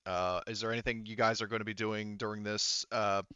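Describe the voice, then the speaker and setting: A man's voice: lowish voice, level tone, fairly quick, bright, forceful tone, smooth, no room sound, lo-fi sound. A man narrating casually and with some swearing as game master of a tabletop roleplaying game.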